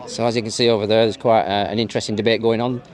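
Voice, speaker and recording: A man giving running commentary as he films a police encounter out on a street, quick and teasing in manner. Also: on the low side, level tone. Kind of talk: live running commentary while filming. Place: outdoors on a busy street.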